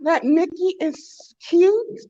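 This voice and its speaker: A woman in a casual online chat speaks in an extremely high voice, yet the tone is soft and dark. She talks very slowly and teasingly, and the recording sounds dry and dull. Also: dry recording.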